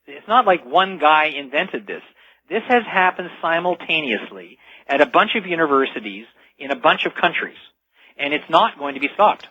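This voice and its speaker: A man speaking as an expert guest on a radio interview, explaining things in an emphatic, measured way. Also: fairly high pitch, animated, bright, forceful tone, husky. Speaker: interview guest, technology author. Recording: dull, band-limited radio connection.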